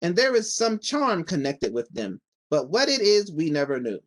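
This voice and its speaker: A man's voice: fairly high pitch, big pitch swings, normal speed. A man voicing a small Munchkin man in a storybook, solemnly and earnestly explaining.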